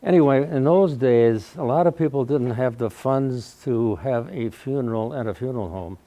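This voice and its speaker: Mellow-voiced elderly Midwestern man. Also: mid-range voice, normal speed. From Wausau, Wisconsin, USA. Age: around 90. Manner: folksy storytelling, often clears his throat; speaking loudly without a microphone. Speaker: guest speaker. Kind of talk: personal life-story talk to a live audience. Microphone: no microphone, recorded in the room.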